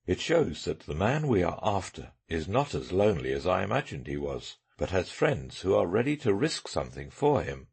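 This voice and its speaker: A man voicing a sharp, self-assured detective in a dramatic audiobook reading, speaking incisively and with emphasis. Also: deep voice, sing-song, moderate pace, gravelly, hardly any pauses, slightly rough, full character voice. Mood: vexed, sharp.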